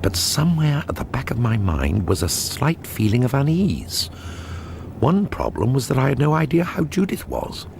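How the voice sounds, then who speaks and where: An anxious, wry amateur sleuth, animated pitch. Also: male voice, moderate pace, gravelly, slight background noise. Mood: wry narration. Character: anxious wry forger caught up in a murder case. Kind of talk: radio drama acting with first-person narration.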